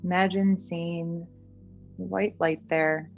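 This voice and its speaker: A woman guiding a meditation in a gentle, soothing, unhurried manner. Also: on the low side, level tone, creaky, highs cut off. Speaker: meditation guide. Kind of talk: guided meditation. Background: background music.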